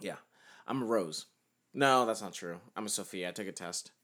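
A man's voice: on the low side, moderate pace, a bit bright. A man, co-hosting a podcast in casual, joking chat that wanders and swears a lot. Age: 20s. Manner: joking, profane, rambling. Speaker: podcast co-host.

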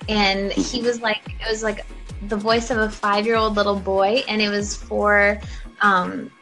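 A woman's voice: mid-range voice, level tone, a little slow, a bit bright, rough recording. Young woman, chatty guest, giggly, filler-heavy, faint music bed. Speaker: voice actor guest. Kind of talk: casual interview chat.

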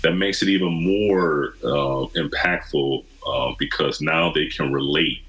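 A man's voice: a bass voice, unhurried, a bit bright, slightly rough, rough recording. A man in his forties from Michigan, a podcast guest telling his story in an earnest, reflective way. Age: 40s. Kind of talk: casual conversation.

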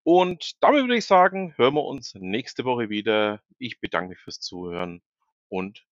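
A man's voice: medium pitch, lively intonation, normal speed, no echo, lo-fi sound. A man hosting a regional news podcast, reading items with informal asides to listeners, his speech a bit slurred from a numb mouth after the dentist. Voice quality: slightly slurred articulation. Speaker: newsletter podcast host.

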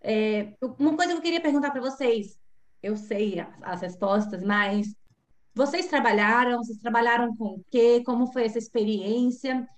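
Podcast host, young woman, Brazilian accent, higher voice, informal interviewing, slightly off-mic. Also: normal speed, distant mic. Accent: Brazilian Portuguese. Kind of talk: casual interviewing.

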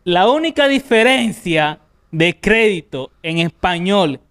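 Laid-back, cursing Caribbean man, smooth voice. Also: sits high, animated, takes it slowly, a bit bright. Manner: loose, profane storytelling with frequent laughs. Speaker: guest, music producer. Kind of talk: casual podcast chat.

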